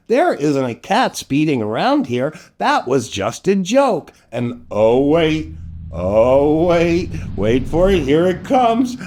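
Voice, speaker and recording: A man's voice: sits high, darkish tone, slight background noise. A man hosting a children's storytime show in a playful, exaggerated, silly way. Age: middle-aged. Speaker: children's storytime host and entertainer.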